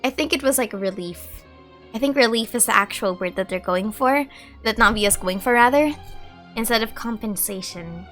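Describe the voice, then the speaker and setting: High-voiced chatty woman streamer. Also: normal speed, a bit bright. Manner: chatty, rambling, self-correcting. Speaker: gaming streamer. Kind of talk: casual let's play commentary. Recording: music playing behind.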